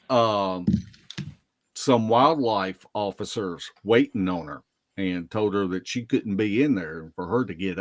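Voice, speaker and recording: A man telling field stories casually as a podcast guest, in a halting way with drawn-out pauses. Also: mid-range voice, no echo. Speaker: guest, Bigfoot field investigator. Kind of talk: casual storytelling in a live podcast interview.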